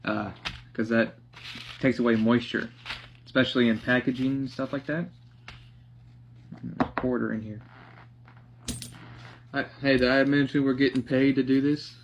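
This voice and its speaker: A young man casually narrating a hands-on project, half talking to himself in a halting mutter as he works in a living room. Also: mid-range voice, steady tone. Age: young adult. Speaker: DIY vlogger. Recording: dull lo-fi sound, clear background noise.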